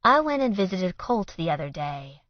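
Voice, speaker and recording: A woman's voice: lowish voice, very animated, normal speed, dry recording, muffled recording. A woman voicing a frank, matter-of-fact young best friend who speaks plainly. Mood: blunt.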